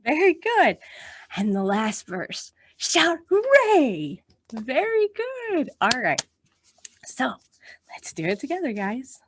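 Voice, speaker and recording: A woman's voice: high voice, huge pitch swings, slow pace, husky. A woman with an American accent hosting a children's storytime, reading aloud and speaking to the children in an encouraging way. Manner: encouraging, addresses children directly with questions and praise. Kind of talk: read-aloud storytime and action songs for young children.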